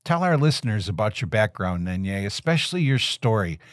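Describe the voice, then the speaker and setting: A man hosting a podcast, introducing his guest in a measured way and stressing key words. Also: on the low side, very animated, no room sound, clean studio sound. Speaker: podcast host. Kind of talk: interview introduction.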